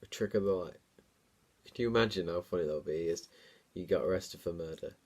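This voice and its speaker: A man's voice: sits low, a little fast, darkish tone. A man hosting a dramatic story reading, mixed with amused, informal comic commentary. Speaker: host reading his own childhood story.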